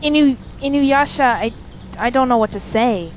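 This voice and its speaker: A woman plays a teenage schoolgirl, sulky yet soft at heart. Her voice is high and moves up and down a lot. The amateur drama recording carries faint noise. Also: animated, normal speed. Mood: stunned, touched. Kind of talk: amateur fan audio drama acting.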